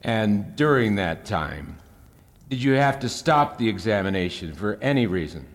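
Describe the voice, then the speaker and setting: Smug low-voiced senator in an echoing hall. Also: male voice, big pitch swings, moderate pace. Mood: pointed, probing. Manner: formal, pointed, elaborately polite. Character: smug, probing male senator questioning a witness. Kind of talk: fiction podcast audio drama. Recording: echoey hall with long reverb.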